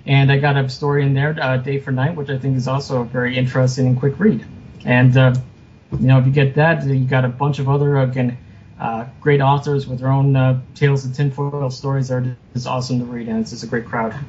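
A man being interviewed as a guest author, chatting casually in a halting, self-deprecating way. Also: medium pitch, level tone, a little mellow. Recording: muffled lo-fi sound with noticeable background noise.

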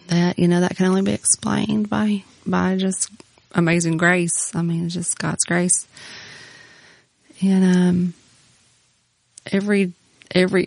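A woman's voice: lowish voice, creaky, darkish tone, dry recording. A middle-aged woman on a podcast with her husband, speaking earnestly and reflectively with many "you know"s. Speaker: podcast co-host, pastor's wife. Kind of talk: casual faith conversation.

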